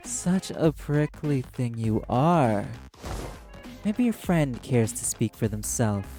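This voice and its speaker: A man voicing a flamboyant, courtly arch-demon casino owner in an audio roleplay, theatrical and drawn-out, flattering with a predatory charm. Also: mid-range voice, soft background music. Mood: silky, teasing.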